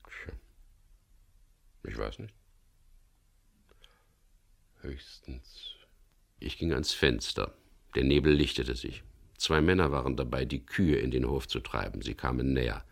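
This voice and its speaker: A gruff skipper from a radio crime drama, extremely deep and full of creaky fry. He is wary and dry, and he talks in terse, matter-of-fact phrases. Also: a little slow, long pauses, full character voice. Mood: calm, matter-of-fact. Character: wary, gruff boat skipper, man, narrating his adventure.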